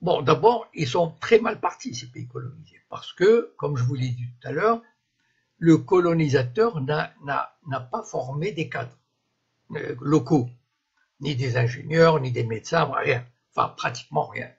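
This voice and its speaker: A man in his seventies from Morocco, whose first language is Moroccan Arabic, giving an unscripted live-stream monologue, often pausing and restarting to find his words. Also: on the high side, lively intonation, vocal fry, slightly rough. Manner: halting, searching for words, frequent restarts. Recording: dull lo-fi live-stream audio.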